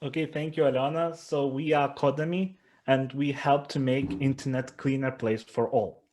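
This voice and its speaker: A man with a Lithuanian accent giving an earnest startup pitch presentation, with frequent fillers and restarts. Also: steady tone, normal speed, a little mellow.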